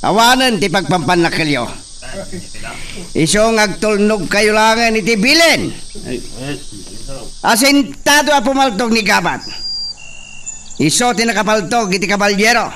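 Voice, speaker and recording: A man's voice: high-pitched, lively intonation, measured pace, pauses often, pushed and bright, distant mic, exaggerated voice. A man with an Ilocano accent playing a ruthless, gloating young lord in a radio drama.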